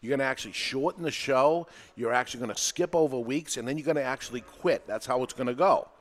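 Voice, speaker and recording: A male podcast host with a New England accent, recorded live in a podcast studio. He talks in a plain, energetic way and drives each point home. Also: mid-range voice, fast talker.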